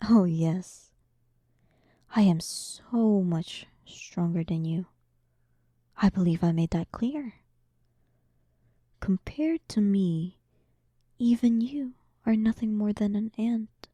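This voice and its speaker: A woman playing a powerful demon queen in an audio roleplay, dominant, sarcastic and playfully condescending, often dropping into breathy half-whispers. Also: mid-range voice, takes it slowly, long pauses, warm tone, no room sound. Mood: boastful. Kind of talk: scripted audio roleplay addressed to the listener.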